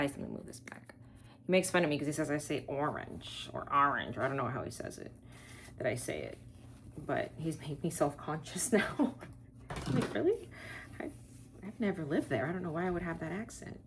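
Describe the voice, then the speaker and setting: Low, gravelly-voiced chatty woman from Texas. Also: slight background noise. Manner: chatty, thinks aloud, rambling asides. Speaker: wreath-making tutorial host. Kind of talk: casual crafting tutorial, talking while working.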